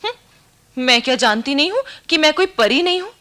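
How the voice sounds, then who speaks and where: This is a pragmatic, slightly vain young woman in a radio drama. Her voice sits a little high and moves with lively intonation. She chats in a girlish, knowing way. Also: a little fast, exaggerated voice. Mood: knowing, dismissive. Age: young adult. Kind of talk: Hindi radio play acting.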